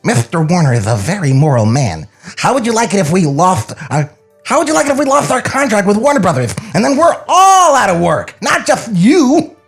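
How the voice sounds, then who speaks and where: A man playing a gruff, sarcastic, exasperated studio boss in a comedy table read, blunt and scolding. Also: high-pitched, huge pitch swings, brisk, faint music behind, full character voice.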